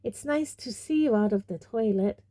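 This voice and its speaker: A woman voicing an anxious, well-meaning schoolgirl who tries to placate with false brightness, in a storybook reading. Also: a little high, lively intonation, fast talker. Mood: falsely bright.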